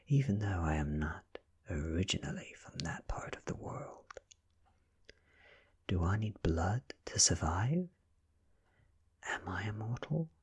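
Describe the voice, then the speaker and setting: A man with a British accent reads in a very breathy, husky whisper. His delivery is extremely unhurried and hushed, with deliberate pauses between short phrases, in the style of an ASMR bedtime story. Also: sits low. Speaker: audiobook-style ASMR reader. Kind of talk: soft-spoken ASMR story reading.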